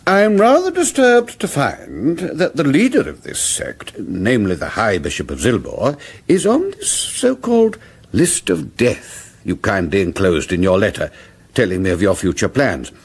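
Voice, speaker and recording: A foolish medieval king for radio comedy. His slightly rough voice swoops all over the place as he fusses, evades and dithers with pompous politeness. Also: lowish voice, wildly swooping pitch, normal speed, full character voice. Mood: polite, dithering. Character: foolish, fussy, evasive medieval king, mild-mannered and oblivious.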